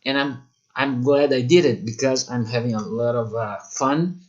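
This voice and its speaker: Guy giving an online lesson, lots of uhs and restarts, mid-pitched voice. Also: fairly even intonation, muffled recording. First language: Portuguese. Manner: halting non-native English with many fillers. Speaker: English teacher. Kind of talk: online course talk.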